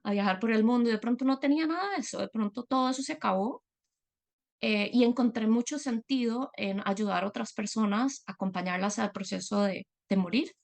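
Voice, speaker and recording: A middle-aged woman from Latin America giving a personal talk as a guest speaker, reflective and earnest, often pausing on fillers. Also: a little high, vocal fry.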